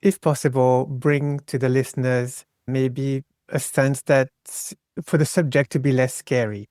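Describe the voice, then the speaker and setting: A middle-aged man with a French accent talks as an interview guest, explaining things in a reflective, conversational way. His pitch sits in the middle of the male range, and the sound is close and dry, with no audible room. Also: fairly even intonation, a little slow, a little mellow, no echo. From France. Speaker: podcast guest, psychosexual psychotherapist.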